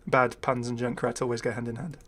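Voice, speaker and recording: A man's voice: mid-range voice, little pitch movement, a little fast, fairly bright tone, a little hiss or hum. A man giving casual, enthusiastic commentary on a game update in a chatty way. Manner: chatty, enthusiastic, readily amused. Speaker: YouTube gaming creator.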